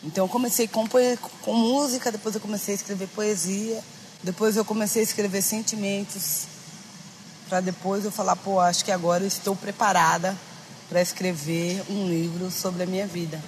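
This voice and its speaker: A woman's voice: medium pitch, fairly even intonation, a little fast, a little hiss or hum, low-quality recording. A woman from Brazil with a Brazilian accent, giving conversational personal testimony as an interviewee. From São Paulo, Brazil. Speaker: interviewee, writer telling her life story.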